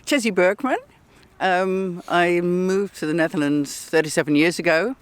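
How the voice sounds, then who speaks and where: A woman's voice: fairly low pitch, normal speed, clean tone. A woman in her sixties from England with an English accent, chatting as an interview guest on an outdoor bench, laughing often and poking fun at herself. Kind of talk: casual chat.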